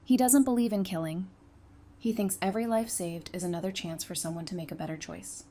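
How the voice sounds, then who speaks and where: A woman's voice: fairly low pitch, fairly quick, warm tone, close to an everyday voice. A woman playing a witty, hardened apocalypse survivor in a fan audio drama, with a teasing, conversational delivery. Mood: earnest. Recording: clear background noise.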